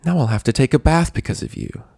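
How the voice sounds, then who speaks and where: A man's voice: mid-range voice, animated, fast, gravelly, warm tone, slightly rough, no room sound, studio quality, voice pushed up. A man voicing a sadistic female unicorn pony in a story reading, scolding and mocking with menace. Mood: cruel, mocking.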